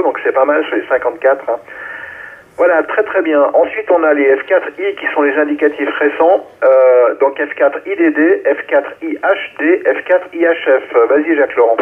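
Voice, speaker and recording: Guy running a ham radio net, slightly high voice, thin radio sound. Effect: two-way radio. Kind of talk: amateur radio net relay talk. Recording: narrow, tinny ham radio relay.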